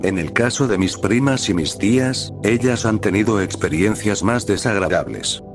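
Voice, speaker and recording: A man with a fairly low voice narrates scary stories. He reads from a script as a storyteller, and music plays clearly behind him. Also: moderate pace. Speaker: narrator of a horror stories channel.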